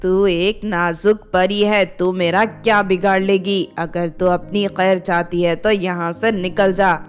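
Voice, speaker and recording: A woman's voice: lowish voice, flat delivery, slow pace, soft background music. A woman voicing a vengeful, boastful witch who makes threats in a children's horror fairy tale. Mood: scornful threatening. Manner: menacing, boastful.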